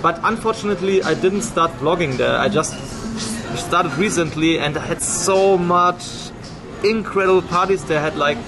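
A man's voice: a little high, normal speed. German man rambling over pub music. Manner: rambling, many restarts and fillers. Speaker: vlogger. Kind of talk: casual chat. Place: busy pub, indoors. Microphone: action camera held at arm's length. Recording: loud background music.

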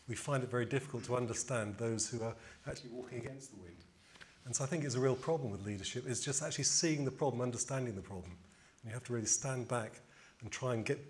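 A middle-aged man reflects aloud as a panelist, his voice caught on a lapel mic. A faint hiss sits under his speech. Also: mid-range voice. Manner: reflective, uses metaphor. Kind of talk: panel discussion. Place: university event hall with audience.

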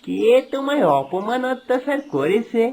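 A woman playing a devoted son and stern husband, dramatic and deliberate, in a cartoon melodrama with music underneath. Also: on the high side, animated, gravelly, darkish tone. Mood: puzzled.

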